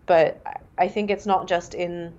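A Canadian-born woman author, first language Mandarin, speaking in a thoughtful, measured way with fillers and restarts. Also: fairly low pitch, steady tone, a little mellow, highs cut off. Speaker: author and guest. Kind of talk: reflective interview talk.

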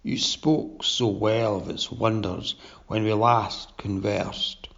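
A sinister undead sea captain, as a storyteller puts him on, lower and rougher than his own voice, drawing his words out with sly, pompous grandeur. The gravel in his tone sits under a dull, lo-fi recording. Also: full character voice. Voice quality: gravelly, low and drawn-out.

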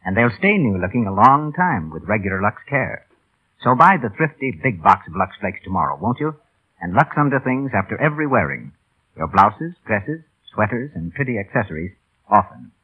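A narrow, tinny old radio recording of a male announcer selling a product. His husky voice is fairly low and very lively in its rise and fall. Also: moderate pace. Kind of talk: 1930s radio advertising read.

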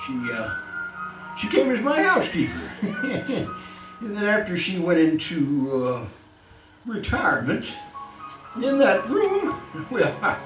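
Gloating, wildly swooping mad killer. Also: male voice, high-pitched, heavily acted. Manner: chuckling, halting, gleefully sinister delivery. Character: gloating mad male serial killer, boastful and cunning. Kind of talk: old-time radio horror acting. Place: live theatre stage performance. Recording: echoey live stage recording, distant mic, music.

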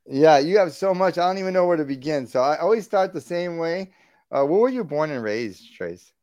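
A man's voice: on the high side, animated, brisk, darkish tone, no room sound, clean studio sound. A man hosting a podcast interview, enthusiastic and rambling, restarting his sentences. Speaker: podcast host. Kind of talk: casual interview.

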